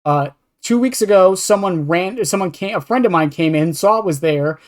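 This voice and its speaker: A man's voice: sits high, normal speed. Self-deprecating man rambling in podcast chat. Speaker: streamer and podcaster.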